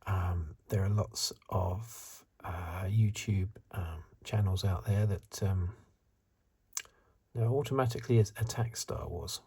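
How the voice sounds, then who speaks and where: A man in his fifties giving soft-spoken, whispery ASMR commentary in a hesitant, halting, unscripted way, with a breathy voice. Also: deep voice. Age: 50s. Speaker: host of an ASMR video channel.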